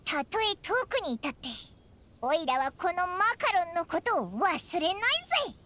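Squeaky, bright, cheeky little fairy. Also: female voice, expressive, normal speed, a little hiss or hum, exaggerated voice. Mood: cheeky delight. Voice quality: squeaky, childlike. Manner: boyish, brash casual speech. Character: tiny floating fairy companion, childlike, cheeky, food-loving. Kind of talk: anime game voice acting. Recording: background music behind the voice.

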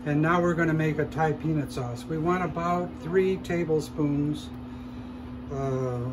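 A man hosting a cooking show, calmly explaining each step as he cooks in a shop demo kitchen. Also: fairly high pitch, unhurried, background noise. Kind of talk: casual cooking demonstration.

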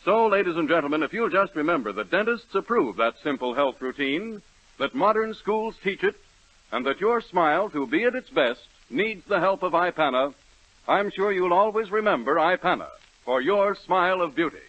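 A man's voice: high-pitched, animated, normal speed, fairly bright tone, dry recording. A man reading an earnest, persuasive radio commercial in 1930s announcer style. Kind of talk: scripted radio advertisement. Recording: dull, dry 1930s radio recording.

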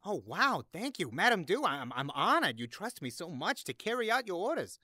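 A bumbling small-time henchman in an audio drama, played by an actor. The voice is sharp and very animated, full of nervous, stammering bravado and eager to please the boss. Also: medium pitch, normal speed, dry recording, clean studio sound.

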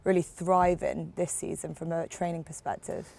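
A woman with a slightly low voice speaks as a TV interviewer. She puts her questions conversationally, as in a sit-down chat recorded out in a garden. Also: flat delivery, moderate pace, gravelly, darkish tone. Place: outdoors in a garden.

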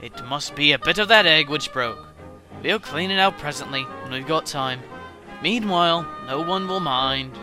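A man's voice: on the high side, normal speed, over music, close to an everyday voice. A man playing a practical, no-nonsense engine driver in a children's story, matter-of-fact and firm. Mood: reassuring.

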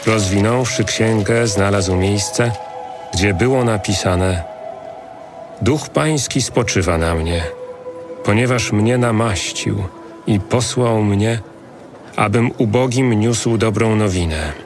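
A man narrating scripture as a scripted audio drama, solemn and deliberate in his delivery. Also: fairly low pitch. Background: music.

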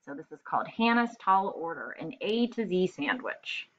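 A woman's voice: fairly low pitch, big pitch swings, moderate pace. A young woman teacher talking casually and directly to young children as she does a read-aloud. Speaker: elementary school teacher. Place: indoor room, webcam screencast. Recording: slightly dull webcam audio.

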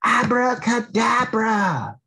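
Man putting on a bubbly, high little female water drop. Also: very animated, takes it slowly, pushed and bright, full character voice. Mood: excited, playful. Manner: exclaiming short playful catchphrases.

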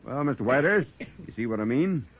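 An actor playing a folksy, exasperated village judge with an American accent and homespun talk, in 1940s radio drama style. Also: male voice, mid-range voice, animated, brisk, a crowd in the background, close to an everyday voice. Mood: reluctant, exasperated. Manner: folksy, homespun rural way of talking. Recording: scratchy, husky-sounding 1940s radio recording, phone-like.

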